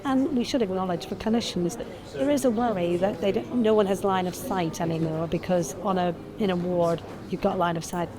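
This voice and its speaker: A woman's voice: fairly low pitch, fairly quick. A woman, a nurse by background, answering interview questions at an event in an earnest manner, restarting and thinking aloud. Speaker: nurse and transformation consultant. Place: conference event. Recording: busy crowd noise behind.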